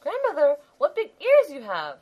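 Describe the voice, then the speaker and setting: A man voicing a polite, trusting little girl in a storybook reading, with a high, bright, eager voice. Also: very animated, fairly quick, dull audio, full character voice. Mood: wondering.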